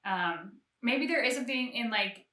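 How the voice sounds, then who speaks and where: Bright, echoey woman in casual discussion. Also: a little high, animated, normal speed. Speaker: co-host of a reaction show. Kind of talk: casual discussion of a game story. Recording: roomy, echoey home-recorded sound.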